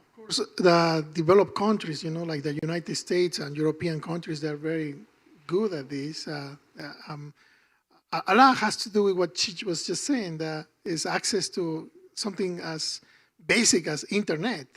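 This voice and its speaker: A man from Peru speaks as a panelist. His voice sits fairly high for a man and has a gravelly texture. He explains things earnestly, with a halting pace. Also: fairly bright tone. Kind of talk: panel discussion.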